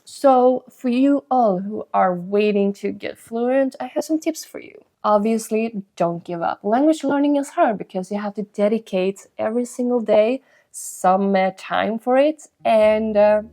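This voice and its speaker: A woman's voice: mid-range voice, unhurried, warm tone. A young woman whose first language is Swedish, giving earnest, encouraging advice to the camera as a language-learning host and repeating her key points. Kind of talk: motivational talk to camera.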